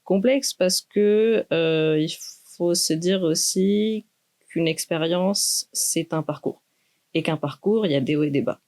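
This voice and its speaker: A woman's voice: lowish voice, dark tone. Hesitant young woman. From Greece. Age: 20s. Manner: measured, thoughtful, with frequent hesitations. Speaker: doctoral student guest. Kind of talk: university podcast interview.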